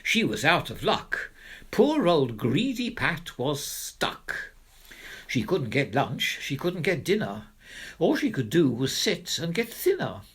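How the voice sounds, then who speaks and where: Guy reading a rhyming kids story, super animated, takes his time, kinda theatrical. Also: on the high side, slow, breathy edge. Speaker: narrator, reader of children's poems. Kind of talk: scripted rhyming storybook narration.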